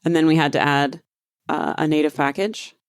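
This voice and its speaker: A woman's voice: sits low, fairly even intonation, normal speed, clean tone, no echo, clean studio sound. A woman co-hosting a casual tech podcast, confident and teasing, using "like" a lot as she explains. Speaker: podcast co-host.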